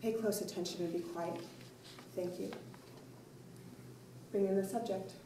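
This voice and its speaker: A woman's voice: medium pitch, fairly even intonation, lightly acted. Woman acting grave elderly soothsayer in echoey room. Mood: grave, commanding. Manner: formal, deliberate, hypnotic. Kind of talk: staged play reading. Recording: echoey room, distant mic, faint noise.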